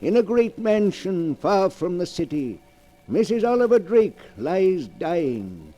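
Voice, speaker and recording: An actor playing a sinister old keeper of dark tales in a melodramatic, ominous 1940s radio style. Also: male voice, sits high, sing-song, faint music behind, heavily acted. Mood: ominous storytelling. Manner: slow, ominous, relishing, theatrical. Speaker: storyteller host of a radio mystery series. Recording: muffled 1940s radio recording.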